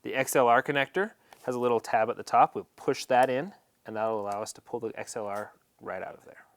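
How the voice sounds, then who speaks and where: A man's voice: medium pitch, fairly even intonation, brisk. A man presenting an instructional equipment setup tutorial, explaining each step in a plain, practical way.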